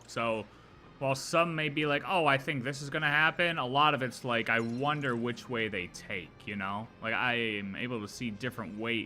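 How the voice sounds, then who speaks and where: Young male streamer, bright tone, music under, rambling casual reactions. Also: medium pitch. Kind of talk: live game reaction stream.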